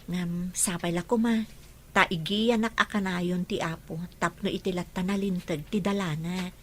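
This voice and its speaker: A woman's voice: lowish voice, fairly even intonation, measured pace, a bit bright. A woman playing a principled, devout young woman in an audio drama, with a Filipino accent. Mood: earnest, pious.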